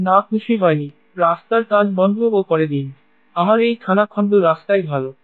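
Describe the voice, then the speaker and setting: A man plays a village fish seller in a cartoon, fretful and stubborn. His voice sits high and carries a plaintive, grumbling edge. The sound is dry and a little muffled. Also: animated, a little fast, dry recording. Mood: insistent. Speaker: cartoon voice actor. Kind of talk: Bengali cartoon story acting.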